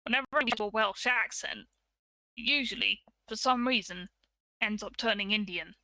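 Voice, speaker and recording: A woman's voice: mid-range voice, normal speed, bright, forceful tone, dry recording, rough recording. A woman, explaining things casually as a co-host in an informal talk.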